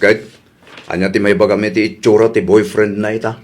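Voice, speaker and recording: A man playing a lovelorn, jealous young ex-boyfriend in an Ilocano Filipino radio comedy, with a husky voice. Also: fairly low pitch, level tone, fairly quick, natural voice. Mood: curious, insecure. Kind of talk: comedy radio drama acting.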